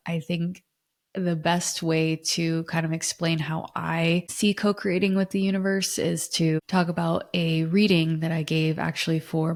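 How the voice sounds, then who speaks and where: A young woman hosting her own podcast in a chatty, earnest way, a little raspy and nasal from a cold. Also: fairly low pitch. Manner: chatty and earnest, with filler words. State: getting over a cold, a bit raspy and nasal. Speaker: podcast host. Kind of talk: casual solo podcast talk.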